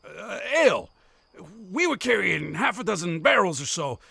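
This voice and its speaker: Man acting a gravelly, theatrical bandit ringleader. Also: high voice, very animated, moderate pace, pushed and bright, dry recording, exaggerated voice. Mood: frantic, pleading. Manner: theatrical, pleading then threatening. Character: scheming bandit ringleader posing as frantic merchant. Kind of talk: visual novel voice acting.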